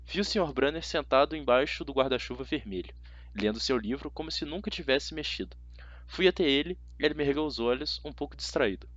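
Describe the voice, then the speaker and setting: A man's voice: medium pitch, brisk, fairly bright tone, no echo, muffled recording. A man with a Brazilian accent narrating a story dramatically in the first person, as an amateur audiobook reader.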